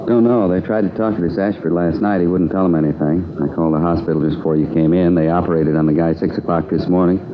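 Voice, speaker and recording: Actor as a weary, low-voiced American cop. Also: male voice, fairly even intonation, fast, hardly any pauses, a crowd in the background, natural voice. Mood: matter-of-fact. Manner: laconic, casual, resigned. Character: weary, grumbling male police detective partner. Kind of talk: 1950s radio drama acting. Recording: dull, noisy old radio recording.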